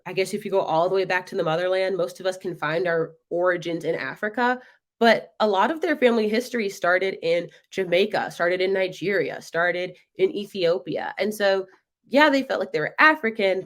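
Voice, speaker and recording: A young woman from North Carolina, hosting a web show and talking through her stories in a casual monologue, lively when she quotes other people. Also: fairly low pitch. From North Carolina, United States. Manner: animated, acts out others' voices in quotes.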